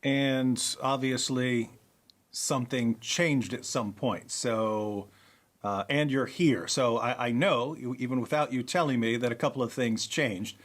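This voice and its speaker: A man's voice: medium pitch, a little slow. Friendly male interviewer asking questions. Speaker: host. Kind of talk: conversational interview.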